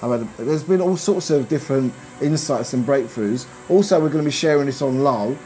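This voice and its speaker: A man, a business coach, talking in a relaxed conversation, rambling and restarting his sentences, growing animated when he makes a point. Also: medium pitch, a little fast, low-quality recording. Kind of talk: casual conversation.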